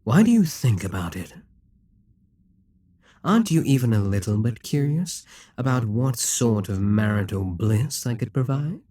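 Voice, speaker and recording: A man's voice: mid-range voice, very animated, a little slow, dark tone, no echo. A man voicing a possessive, scheming male demon king in an audio roleplay, teasing and condescending.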